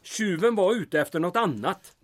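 A man playing a composed, probing townsman in a radio drama, speaking in a measured, pointed and insinuating way. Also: high-pitched, animated. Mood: grave. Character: probing, composed Swedish townsman pressing an officer.

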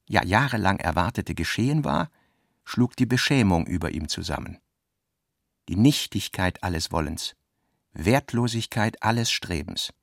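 A man's voice: lowish voice, vocal fry, dry recording, studio quality. A man narrating literary prose as the narrator of a radio drama.

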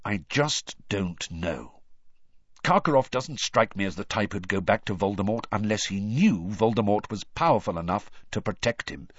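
A man reading the part of a grim, worried adult wizard godfather, speaking low and gravely. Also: expressive, no room sound. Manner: low, slow and grave, then urgent. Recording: dull, dry recording.

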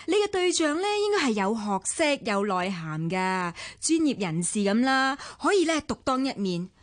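A scornful young gossip. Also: female voice, fairly high pitch, very animated, moderate pace, no room sound. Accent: Hong Kong Cantonese. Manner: scornful, lecturing, sarcastic. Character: sharp-tongued young woman, scornful of a rival. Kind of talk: 1990s radio drama acting.

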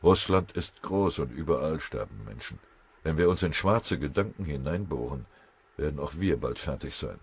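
A man's voice: very deep voice, lively intonation, normal speed, slightly rough, full character voice. A man voicing a stern, hardened soldier who leads his exhausted comrades with harsh commands. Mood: grim. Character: stern hardened male sergeant-like soldier leader.

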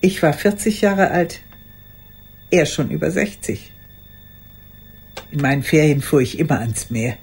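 Formal old schoolteacher, swooping pitch. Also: female voice, expressive, darkish tone, soft background music. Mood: wistful, confessional. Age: older. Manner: formal, deliberate, addressing a silent listener. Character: solitary old retired schoolteacher, formal, confessional, female. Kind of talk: radio play monologue.

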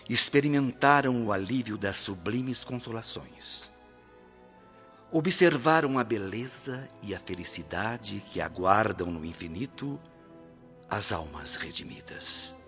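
A very deep Brazilian spirit guide, solemn and benevolent, preaching to souls in a radio drama. He speaks very slowly, like a formal orator. The recording is somewhat dull, with soft music underneath. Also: full character voice. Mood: solemn, consoling. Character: solemn benevolent male spirit mentor addressing departed souls.

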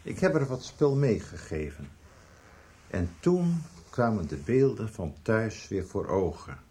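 Earnest, reproachful brother, lively intonation. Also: male voice, fairly low pitch, measured pace. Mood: earnest, confronting. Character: earnest, reproachful brother confronting his stubborn sibling. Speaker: radio drama actor. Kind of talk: Dutch radio soap acting.

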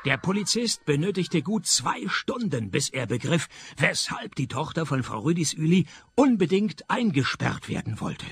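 An actor in a radio play playing a cynical private detective who narrates and questions with dry sarcasm. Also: male voice, on the high side, sing-song, moderate pace, gravelly, breathy edge, exaggerated voice. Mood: wry narrating. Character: sardonic, cynical male private detective narrating his case.

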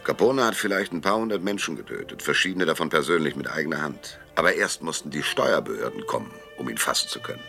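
An actor playing a cynical private detective in a German radio crime drama, narrating and talking in a dry, laconic, sardonic way. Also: male voice, extremely low pitch, animated, fast talker, creaky, few pauses, pushed and bright, soft background music, heavily acted. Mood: wry, pondering. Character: hardboiled, cynical male private detective, narrator of his case.

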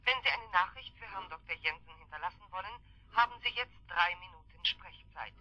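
An actress playing a formal telephone answering machine announcer in standard German, heard through a phone filter. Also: female voice, on the low side, fairly quick, bright, forceful tone. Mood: formal, neutral. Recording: dull band-limited telephone answering machine.

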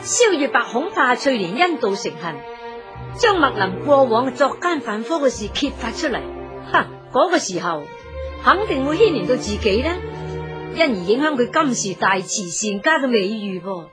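Expressive woman storyteller over music. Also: fairly high pitch, moderate pace. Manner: expressive, addresses listeners. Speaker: radio drama narrator and storyteller. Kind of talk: dramatic scripted storytelling narration.

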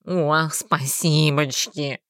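A woman's voice: deep voice, steady tone, drawn-out words, a bit bright, smooth, dry recording, voice pushed down, full character voice. A woman voicing a groveling male werewolf with a nasal, whiny, tearful voice, in a dry recording. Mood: whining. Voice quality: nasal, whining.

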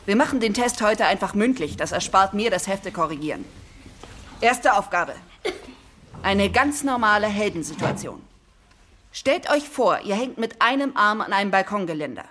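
An actress playing a brisk, strict female teacher at a superhero school in a children's radio play, instructing and commanding. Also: mid-range voice, lively intonation, fairly bright tone, a little hiss or hum, natural voice. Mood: matter-of-fact, instructing.

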